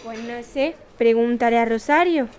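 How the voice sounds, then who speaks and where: A woman's voice: fairly high pitch, normal speed, darkish tone, lightly acted. A young woman with a Spanish accent acting a sulky, defiant daughter in an audio drama, curt and defensive. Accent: Spain.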